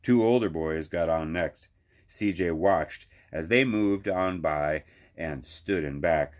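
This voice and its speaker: A man's voice: deep voice, takes it slowly. A man reading a children's picture book aloud as a librarian would, expressively, drawing out phrases for emphasis.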